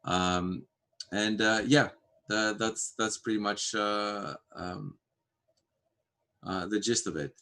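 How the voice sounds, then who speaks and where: Man, Greek native speaker, very low voice, casual livestream talk, lots of fillers, video call. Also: steady tone, vocal fry, sharp tone. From Greece. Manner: halting, many fillers and restarts. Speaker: framework core team member.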